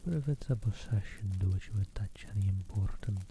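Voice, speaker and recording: A man's voice: lowish voice, brisk, natural voice. Man doing a lazy offhand cockatrice. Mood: unbothered. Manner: soft, hushed and unbothered. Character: lazy offhand male cockatrice lounging in fire. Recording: tinny phone-like line, faint hiss.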